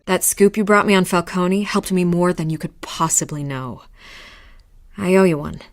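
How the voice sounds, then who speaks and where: A woman's voice: fairly low pitch, fairly even intonation, moderate pace, dry recording, clean studio sound, lightly acted. An actress playing a keen, confident female news hound in a video game, conversational and inquisitive. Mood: curious. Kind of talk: dramatic video game voice acting.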